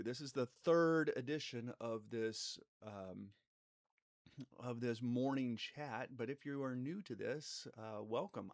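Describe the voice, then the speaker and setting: A man's voice: medium pitch, no room sound, studio quality. Congested man hosting a live chat. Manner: relaxed and meandering, many fillers and restarts. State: congested from a cold. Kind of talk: casual live-stream talk to viewers.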